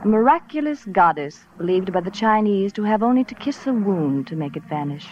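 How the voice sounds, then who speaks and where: An actress playing a sultry, teasing femme fatale professor in 1940s radio noir, languid and insinuating. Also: female voice, medium pitch, lively intonation, brisk, darkish tone, slight background noise, lightly acted. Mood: sly, languid. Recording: muffled old radio recording with music.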